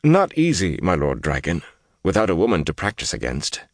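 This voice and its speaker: A man's voice: low-pitched, wildly swooping pitch, normal speed, dry recording, clean studio sound, exaggerated voice. A man voicing a frightened, slippery servant in an audiobook fantasy tale, obsequious and pleading, prone to babbling and stammering. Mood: nervous, submissive.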